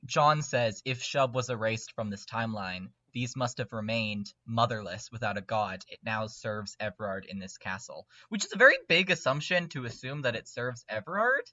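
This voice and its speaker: Man, bright forceful voice, excitable, mock-serious, casual podcast chat, dull dry recording. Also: medium pitch, normal speed, few pauses, no room sound. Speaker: podcast lore guest.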